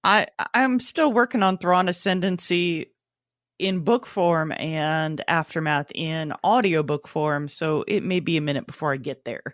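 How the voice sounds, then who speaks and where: A woman's voice: deep voice, a little slow, dry recording, clean studio sound. A woman co-hosting a podcast, talking casually in a matter-of-fact way. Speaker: podcast co-host. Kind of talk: casual chat.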